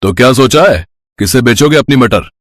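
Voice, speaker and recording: A man's voice: mid-range voice, animated, moderate pace, no room sound, close to an everyday voice. A man voicing a greedy, scornful politician who bullies villagers in a moral-story cartoon. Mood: oily, pushy.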